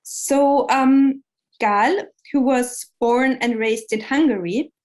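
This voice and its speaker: Woman lecturing at a seminar, kinda high voice, lots of ums and pauses. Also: darkish tone. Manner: measured, with frequent um pauses. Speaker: academic speaker.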